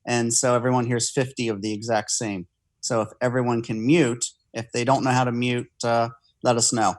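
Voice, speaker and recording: A man's voice: mid-range voice, little pitch movement, moderate pace. A man presenting on a video call from home, casually explaining things to a group. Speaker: guest presenter. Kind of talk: casual explaining before a slideshow.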